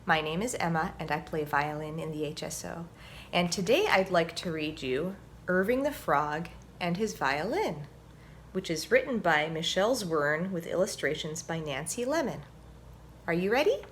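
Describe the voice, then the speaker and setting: A woman's voice: on the low side, animated, moderate pace, a little hiss or hum. Woman reading a children's storybook aloud.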